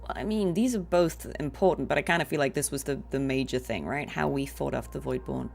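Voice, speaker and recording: A woman livestreaming a game, chatting casually and reacting to it in an expressive, informal way. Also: rumbling low, brisk, gravelly, faint music behind. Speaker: livestreamer, voice actor. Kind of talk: casual chat and reactions while streaming a game.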